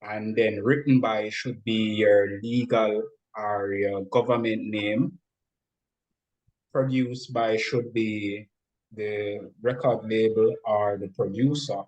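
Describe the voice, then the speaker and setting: Slow, low-voiced man explaining. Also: fairly even intonation, a little mellow, dull audio. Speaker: interview guest, music industry expert. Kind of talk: explanatory interview talk.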